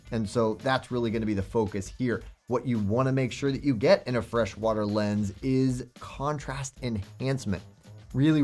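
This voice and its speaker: A man's voice: mid-range voice, a little mellow. A young man hosting a product review to camera, chatty and enthusiastic in a salesman way, with faint music behind him. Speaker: host.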